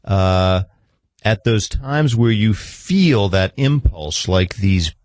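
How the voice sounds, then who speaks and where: Man counselling with persistent, measured questions. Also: on the low side, animated, a little mellow. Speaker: therapist counselling a guest. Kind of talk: counselling conversation.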